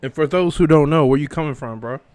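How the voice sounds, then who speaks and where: A man from Texas hosting a casual interview, relaxed and full of slang. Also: a little high, quick pace, dry recording. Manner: laid-back slang, street talk. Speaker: podcast host.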